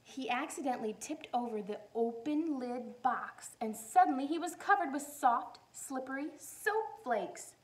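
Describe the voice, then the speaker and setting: A woman with a high voice reads a children's story aloud. Her intonation rises and falls widely, and she is expressive, explaining things simply for young listeners. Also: measured pace, few pauses, low-quality recording. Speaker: teacher reading aloud.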